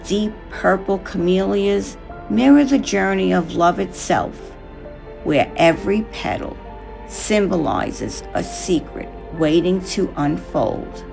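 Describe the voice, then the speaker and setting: A woman reads a reflective craft narration in short, measured phrases. Her delivery is unhurried, with gravelly fry at the edges and expressive rises and falls in pitch. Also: music in the background. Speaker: craft tutorial host. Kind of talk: scripted narration over a craft video.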